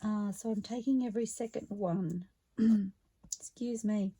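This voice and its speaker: A woman with a slightly croaky voice, chatting her way through a craft project step by step in an unhurried, friendly tone. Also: medium pitch, steady tone, mellow, low-quality recording. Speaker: craft vlogger. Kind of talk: relaxed craft narration while working.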